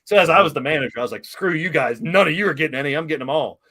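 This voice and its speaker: A man's voice: on the high side, expressive, racing, pushed and bright. A middle-aged man hosting a talk show interview, chatting casually in an enthusiastic, emotional, rambling way.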